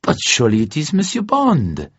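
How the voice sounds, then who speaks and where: A man's voice: on the high side, wildly swooping pitch, normal speed, husky, dry recording, muffled recording, voice pushed up, full character voice. A man voicing an urbane, effusive Frenchman with a courteous, formal way of talking. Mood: delighted. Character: urbane, effusive Frenchman, smooth secret agent colleague.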